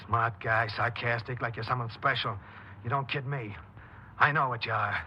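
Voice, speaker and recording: Edgy small-time crook, noisy radio. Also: male voice, mid-range voice, steady tone, normal speed, bright, forceful tone. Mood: resentful. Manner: streetwise, colloquial, edgy. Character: nervous small-time male burglar, blunt, distrustful. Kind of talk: 1950s radio crime drama acting. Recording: noisy, dull 1950s radio recording.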